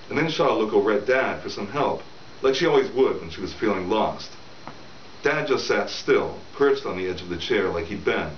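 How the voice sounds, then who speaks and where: A middle-aged man reading his novel aloud in a conversational storytelling way, as the author, in a room at home. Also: low voice. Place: small room at home, indoors. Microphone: camera several feet away. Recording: roomy, distant camera mic, dull with hiss.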